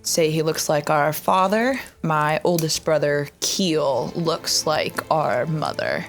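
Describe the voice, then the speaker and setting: A woman playing a tabletop roleplay, describing her character and arguing in character in an animated way. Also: deep voice, slow, vocal fry, faint music behind. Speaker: player.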